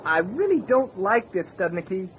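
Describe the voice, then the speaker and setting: An actor playing a pompous, whimsical would-be sea captain in a radio drama, grandiose and excitable. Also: male voice, high-pitched, moderate pace. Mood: suspicious. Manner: grandiose, mock-nautical, excitable. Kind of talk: old-time radio comedy-horror acting. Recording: muffled, noisy old radio drama recording.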